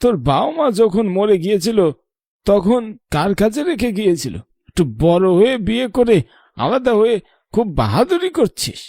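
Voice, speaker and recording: Casting: male actor as weary, protective old grandfather for a cartoon; mellow, creaky voice, sharp tongue, soft heart. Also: high-pitched, big pitch swings, normal speed, no room sound, studio quality, full character voice. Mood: angry scolding. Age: older. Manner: scolding, then tender storytelling. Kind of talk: cartoon voice acting.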